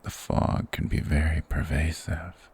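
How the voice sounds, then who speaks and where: Man voicing a very deep, gravelly forest spirit. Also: fairly even intonation, exaggerated voice. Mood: soothing, persuasive. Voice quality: gravelly, breathy whisper. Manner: slow, hushed, hypnotic, formal, coaxing. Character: sinister, soothing male forest spirit luring a traveller. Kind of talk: ASMR fantasy roleplay.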